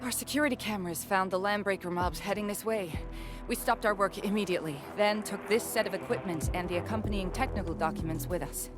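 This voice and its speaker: A woman's voice: mid-range voice, quick pace, music in the background, lightly acted. A woman playing a practical, matter-of-fact worker in a video game. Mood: earnest.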